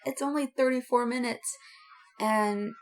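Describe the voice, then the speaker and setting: A woman's voice: a little high, steady tone, measured pace, a little hiss or hum. A woman co-hosting a podcast, talking in an informal, enthusiastic and unscripted way. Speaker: podcast co-host. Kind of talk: casual chat about stories and media.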